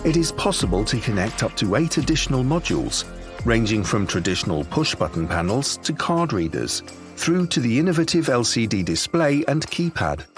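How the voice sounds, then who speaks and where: Man narrating corporate promo, lively intonation. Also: lowish voice. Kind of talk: scripted corporate product narration.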